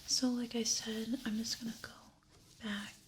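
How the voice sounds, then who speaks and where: A woman speaking in a soft, breathy whisper, reassuring, as an examiner in an ASMR medical role-play. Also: a little high, level tone, moderate pace, a little hiss or hum. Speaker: ASMR creator role-playing an ear examiner.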